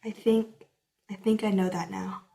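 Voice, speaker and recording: A woman's voice: mid-range voice, flat delivery, moderate pace, creaky, mellow, natural voice. A woman playing an earnest, caring young girl on a quest, pleading and sincere, in a fantasy audio drama. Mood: sad, subdued. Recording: music behind the voice.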